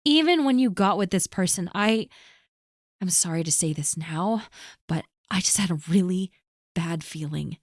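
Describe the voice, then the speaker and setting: A woman performing a scripted audio roleplay, gently and earnestly reassuring a friend who is spoken to directly. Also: on the low side, animated, normal speed, dry recording, studio quality.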